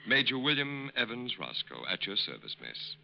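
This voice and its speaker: A man's voice: mid-range voice, big pitch swings, moderate pace, gravelly. An actor in a 1940s radio drama playing a charming con man who poses as a courtly army major. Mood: ingratiating. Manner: courtly, ingratiating, hesitant politeness. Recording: dull, noisy old radio recording.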